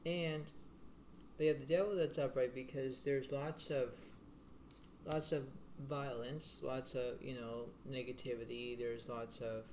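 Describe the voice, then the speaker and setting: A man giving a casual tarot reading to the viewer in a halting, repetitive, rambling way. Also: fairly even intonation, a little mellow. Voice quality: low-volume voice. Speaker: tarot card reader. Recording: noisy homemade recording with room ambience.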